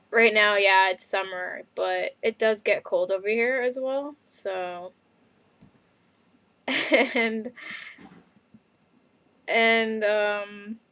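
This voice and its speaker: A woman's voice: medium pitch, fairly bright tone, dry recording. A young woman from Canada chatting casually in a video diary, rambling with lots of ums and little laughs. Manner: rambling, many fillers and laughs. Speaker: vlogger.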